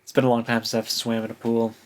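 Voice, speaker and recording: A man's voice: medium pitch, monotone, fast talker. Casual man recapping a story. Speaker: book podcast co-host.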